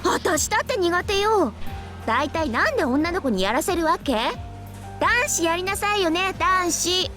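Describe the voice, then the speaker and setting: Very high-pitched feisty schoolgirl. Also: lively intonation, a little fast, a bit bright. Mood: reluctant, indignant. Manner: pert, complaining schoolgirl talk. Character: feisty, wary teenage schoolgirl classmate. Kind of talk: Japanese anime visual novel acting. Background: game music.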